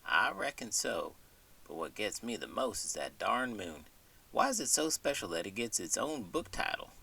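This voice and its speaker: A good-natured cowboy acted out by a man drawls in a folksy American western accent. His pitch swings widely and the tone is bright and a little rough. He sounds puzzled by the world, as in a bedtime story skit. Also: sing-song, normal speed, distant mic, full character voice. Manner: folksy, colorful cowboy idioms. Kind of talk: scripted comedic bedtime interlude.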